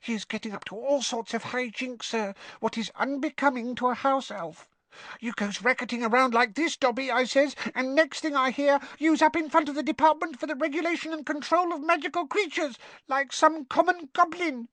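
A man voicing a tiny, timid female house elf from a storybook, with a quivering squeak and meek, servile broken grammar. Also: fairly even intonation, normal speed, a bit bright, no room sound. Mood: scolding, firm.

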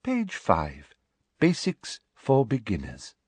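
A man's voice: medium pitch, wildly swooping pitch, takes it slowly, darkish tone, husky, no echo, pitched above the performer's own voice, full character voice. A man voicing a smug, sickly-sweet middle-aged female teacher from a storybook, with a honeyed trill and a precise, dismissive manner. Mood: triumphant, falsely sweet. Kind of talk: audiobook character voice.

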